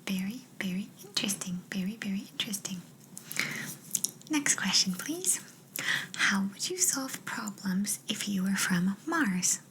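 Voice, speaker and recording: A woman's voice: medium pitch, expressive, measured pace, noisy background. A woman whispering breathily and playfully as a quirky job interviewer in an ASMR roleplay, close to the microphone. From Canada. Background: writing sounds.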